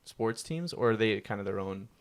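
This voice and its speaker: A young man hosting a podcast from home, talking in a relaxed, hesitant way with many restarts. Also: on the low side, moderate pace. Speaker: podcast host. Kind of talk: casual interview chat. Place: home room. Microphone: podcast mic.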